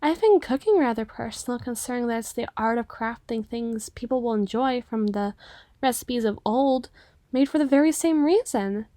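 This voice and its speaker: A woman's voice: fairly high pitch, moderate pace, warm tone, dry recording, lightly acted. A woman voicing a shy, self-effacing cyclops waitress in her twenties, hesitant and earnest, in an ASMR roleplay. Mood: earnest, musing. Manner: hesitant, reserved, rambling earnestly.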